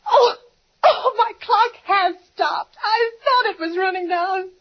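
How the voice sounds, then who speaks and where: Casting: panicky invalid wife, very high voice, old muffled radio sound. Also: female voice, slow. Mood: frantic. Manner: panicky, pleading, breaking into sobs. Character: frantic bedridden woman alone at night. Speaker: lead actress. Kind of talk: 1940s radio acting. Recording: muffled 1940s radio broadcast.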